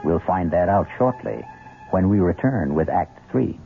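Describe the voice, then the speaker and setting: A man's voice: low-pitched, lively intonation, a little slow, loud music behind the voice. A man hosting an old-time radio mystery, narrating in a wry, theatrical, rhetorical manner. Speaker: host of radio mystery show. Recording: muffled phone-like vintage radio recording.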